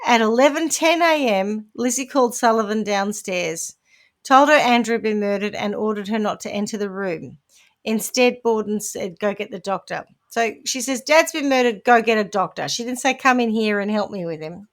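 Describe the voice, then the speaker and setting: A woman's voice: medium pitch, lively intonation, moderate pace. A woman with a New Zealand accent hosting a casual podcast, telling a true-crime story with playful asides. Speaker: podcast host.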